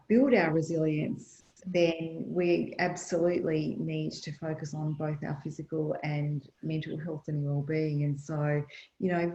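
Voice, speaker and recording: A woman's voice: low-pitched, a little mellow, dull audio. Earnest woman on an echoey video call. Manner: earnest, thoughtful, many fillers and restarts. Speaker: panelist. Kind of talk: panel discussion answer.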